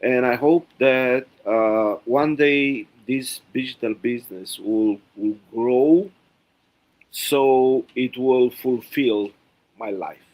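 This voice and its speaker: A middle-aged man talks on a live video call. He is courteous and hesitant, pausing often on "uh". His voice sits in an ordinary middle range for a man. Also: mid-range voice, darkish tone, low-quality recording. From Romania. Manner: halting, polite, many "uh"s. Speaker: panel guest. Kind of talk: livestream panel chat.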